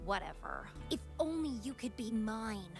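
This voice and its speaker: Slow, teasing, possessive temptress. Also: female voice, on the high side, animated. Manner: slow, teasing, breathy purr. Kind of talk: video game voice acting. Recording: game dialogue over clear music.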